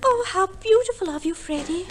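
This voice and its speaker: An actress playing a genteel, fickle, gushing wife in an old radio comedy play, very theatrical in manner. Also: female voice, very high-pitched, animated, moderate pace, poor audio.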